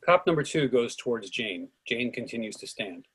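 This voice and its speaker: A man reading stage directions in an even, measured way for a script reading. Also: medium pitch, husky.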